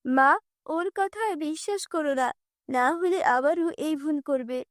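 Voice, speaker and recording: A woman's voice: high voice, normal speed, no echo, studio quality, lightly acted. A woman voicing a spiteful scheming sister. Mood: insistent.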